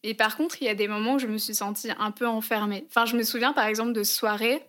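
A woman's voice: on the high side, fairly even intonation, fast talker, fairly bright tone. A young woman from France, co-hosting a podcast in casual chat, hesitant and thinking aloud with lots of fillers. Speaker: podcast co-host.

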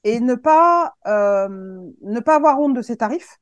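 A woman in her fifties, a guest on a podcast, speaking in a casual, earnest and encouraging way, halting often to restart her sentences. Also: fairly high pitch, expressive, dry recording, lo-fi sound. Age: 50s. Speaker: guest, web agency founder.

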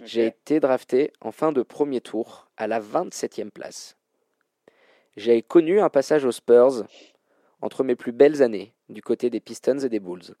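A man with a mellow, relaxed voice hosts a sports trivia game. His delivery is informal and full of colloquial slang, and the sound is close and dry. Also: mid-range voice, a little slow, dry recording. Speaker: quiz show host. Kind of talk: hosting a basketball trivia quiz, casual banter.

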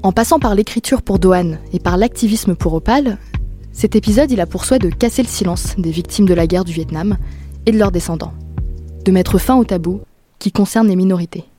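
A woman from France hosting a podcast, reading a scripted introduction clearly and steadily, then asking questions. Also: mid-range voice, a little fast, warm tone, soft background music. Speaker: podcast host.

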